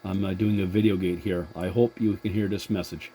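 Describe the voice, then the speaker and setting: A man talks casually as a radio operator. His voice is fairly low, dark and relaxed, and he goes haltingly, often repeating a word before carrying on. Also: slight background noise, poor audio. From Atlantic Canada.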